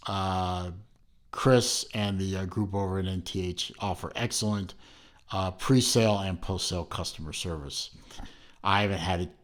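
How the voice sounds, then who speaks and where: A man hosting his own channel, reviewing a watch in a rambling, casual way with lots of fillers and restarts, filming at home from behind the camera. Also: low-pitched.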